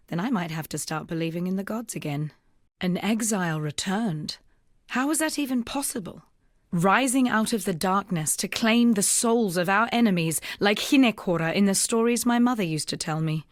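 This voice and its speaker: Low-voiced, earnest wounded rebel woman. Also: no room sound, clean studio sound. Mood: amazed, grateful. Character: wounded young woman rebel, freed slave, earnest and weary. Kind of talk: scripted video game character dialogue.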